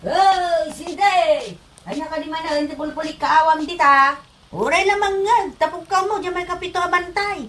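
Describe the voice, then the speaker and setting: A woman's voice: very high voice, moderate pace, fairly bright tone, a little hiss or hum. A woman playing a reproachful mother in a comedy drama, scolding and admonishing. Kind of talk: Ilocano comedy drama acting.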